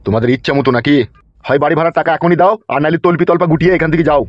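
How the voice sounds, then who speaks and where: A man's voice: a little high, very fast, natural voice. A man playing a pushy, lecherous landlord in a comic cartoon, taunting and crude as he fires off questions. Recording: dull lo-fi track with music.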